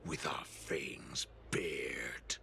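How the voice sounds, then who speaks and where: A man's voice: rumbling low, extremely slow, creaky, sharp tone, a little hiss or hum, heavily acted. A man voicing a menacing, proud wolf-beast warlord in a video game, speaking in a grandiose, contemptuous growl. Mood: disdainful. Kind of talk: dramatic video game acting. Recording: music behind the voice.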